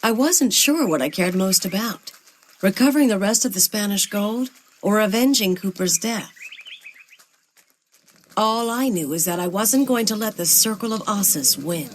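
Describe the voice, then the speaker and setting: An actress with an American accent playing a determined, dry-witted adventurer heroine in a video game, plain-spoken and resolute. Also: female voice, medium pitch, animated, moderate pace, a little hiss or hum, natural voice. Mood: reflective, wry. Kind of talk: dramatic video game voice acting and narration.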